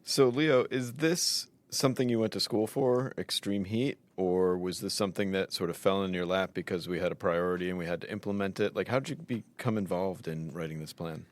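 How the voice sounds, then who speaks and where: A man with a very low voice hosts a podcast conversation, putting questions to his guest in a relaxed, informal manner. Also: fairly quick, few pauses. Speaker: podcast host. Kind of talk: casual interview questions.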